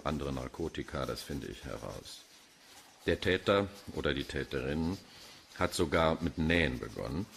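An actor playing a cynical, erudite middle-aged police pathologist in a German radio crime drama, wordy and dryly pedantic. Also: male voice, sits low, creaky, a little hiss or hum. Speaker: forensic pathologist character.

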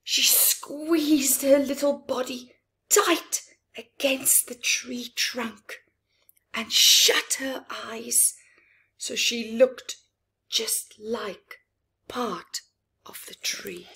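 A middle-aged woman reading a story aloud to young children, expressively, with dramatic pauses and chatty asides. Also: a little high, creaky, bright, forceful tone, husky. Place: living room, indoors.

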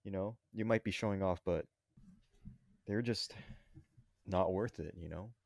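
A man with a lowish voice chats casually as a podcast co-host. His delivery is halting as he searches for words, and the sound is very dry. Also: gravelly, darkish tone, no echo. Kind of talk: casual chat.

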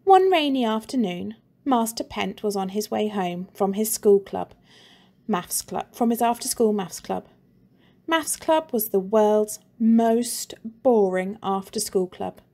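A woman teacher reads a funny children's story aloud, taking her time over each phrase. Her voice has a gravelly edge, and she plays up the jokes. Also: medium pitch. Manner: slow, expressive, playful.

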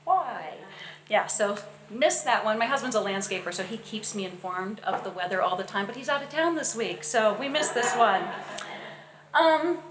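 A middle-aged woman giving a public lecture from a podium, friendly and measured, with some filler words. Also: fairly high pitch, lively intonation, reverberant, noisy background. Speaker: education researcher and presenter. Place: wood-panelled lecture hall. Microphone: podium microphone.

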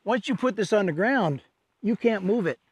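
A man teaches outdoors in a forest, folksy and enthusiastic as he explains. His voice sits a little high for a man, and the sound is close and dry, with no room around it. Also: expressive, moderate pace, husky, no echo.

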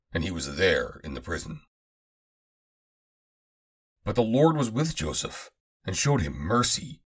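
A man with a low, husky voice reads scripture aloud. His delivery rises and falls widely, and he declaims the archaic text in measured phrases. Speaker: Bible reader. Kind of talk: scripted scripture reading, solemn archaic narration.

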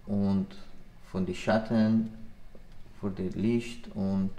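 Slow, low-voiced man with a Persian accent. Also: warm tone. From Iran. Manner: halting non-native speech with simple, broken grammar. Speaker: illustrator and animation artist. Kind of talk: informal interview explaining own work. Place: indoor art studio at a desk.

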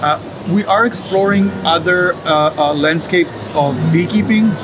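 A man's voice: high voice, measured pace, over music. Man explaining at a trade show booth. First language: Hebrew. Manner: explanatory, many fillers and restarts. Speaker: startup executive.

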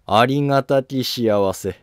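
A man playing a calculating, courteous court noble in a historical drama, polite, measured and self-assured. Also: medium pitch, no room sound, studio quality, voice pushed up, natural voice. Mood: courteous, humble.

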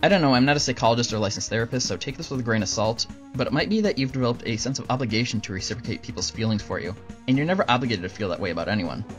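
A man reads scripted answers as a show host at a brisk clip. His delivery is dry and deadpan, with music playing underneath. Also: mid-range voice. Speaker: host answering viewer questions. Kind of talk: scripted Q&A narration with deadpan jokes.

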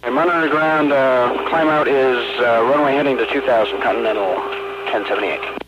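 A man's voice: medium pitch, moderate pace. A man, an airline pilot, making procedural calls to air traffic control over a radio. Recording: muffled, noisy cockpit radio transmission.

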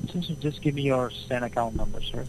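A man working as a technical support agent who speaks at a middle pitch, formal and politely repetitive. There is a faint hiss behind his voice on the call. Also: normal speed, poor audio. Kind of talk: customer service call.

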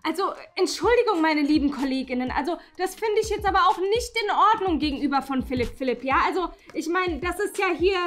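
An earnest, well-meaning teacher, acted by a woman in a comedy sketch. The voice is high, and the speech is polite and preachy, feeling haltingly for each point. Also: expressive. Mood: objecting. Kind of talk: improvised comedy sketch acting.

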